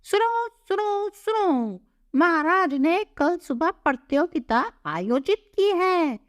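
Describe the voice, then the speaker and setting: A woman voicing a small bird who proclaims royal news in a children's animated story. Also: very high voice, dry recording, studio quality, exaggerated voice. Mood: proclaiming. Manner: proclaiming, drawn-out calls. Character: small female bird, the jungle king's loud herald.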